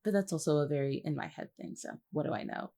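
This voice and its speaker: Female podcast co-host, deep register for a woman, informal, hesitant, self-deprecating delivery. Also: fast, warm tone. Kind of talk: casual chatty behind-the-scenes discussion.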